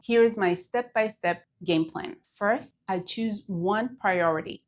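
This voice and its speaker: A woman from Israel with an Israeli accent, a native Hebrew speaker, giving an earnest, emphatic scripted video lesson as a Hebrew teacher. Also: mid-range voice.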